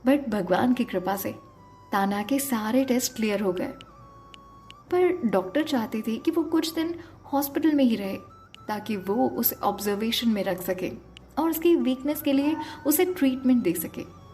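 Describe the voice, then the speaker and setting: A woman's voice: fairly high pitch, lively intonation, unhurried, warm tone, soft background music. A woman narrating an emotional audio story as a storyteller. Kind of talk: dramatic story narration with acted dialogue.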